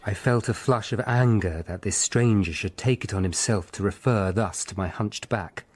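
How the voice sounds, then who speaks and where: An actor playing a grave, observant Tudor lawyer who narrates his own story in measured, literate phrases for a radio drama. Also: lowish voice, a little mellow, slight background noise, lightly acted. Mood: sombre. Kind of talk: scripted first-person radio drama narration.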